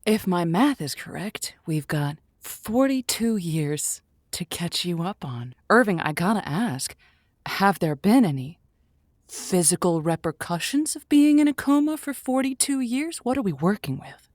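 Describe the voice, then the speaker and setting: Radio advice host played by a woman: tactful, slightly awkward, low register, dry recording, conversational comic audio play. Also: lively intonation, a little slow, a little mellow, no echo. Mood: tactful, bemused.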